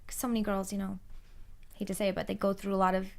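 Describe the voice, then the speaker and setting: A woman's voice: mid-range voice, monotone, very fast, gravelly, crowd noise. A woman interviewer asking questions and encouraging her guests with frequent affirmations.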